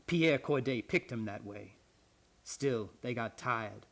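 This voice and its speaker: An actor playing a grim, blunt old island retainer in a radio play, with rustic, archaic phrasing full of ayes. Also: male voice, mid-range voice, slow pace, vocal fry, a bit bright. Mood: bitter, grave.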